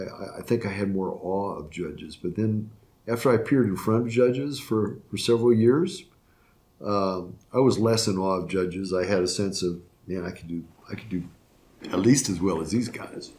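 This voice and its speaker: Older man, very deep voice, halting speech, restarts, judge in a sit-down interview. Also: a little mellow. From Florida, USA. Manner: halting, many restarts and fillers. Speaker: retiring circuit court judge. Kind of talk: reflective interview answers.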